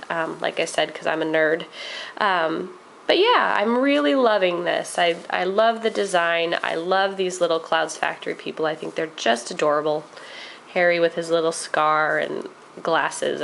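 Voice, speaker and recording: A woman's voice: on the low side, vocal fry, fairly bright tone, a little hiss or hum, low-quality recording. A young woman chatting enthusiastically about her crafts with lots of ums, a little nasal while getting over a cold. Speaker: crafting vlogger. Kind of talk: casual show-and-tell vlog.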